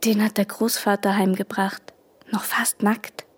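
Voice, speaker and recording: An actress playing a lively, artless young farm girl in a German radio play, with eager, unguarded rustic speech. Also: mid-range voice, a little slow, slightly rough. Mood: chatty, proud.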